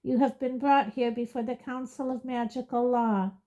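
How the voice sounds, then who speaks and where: A woman's voice: on the high side, steady tone, moderate pace, highs cut off, voice pushed up, natural voice. A woman voicing a harsh middle-aged male judge who bellows his verdicts. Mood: cold fury. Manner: loud, cold, bellowing.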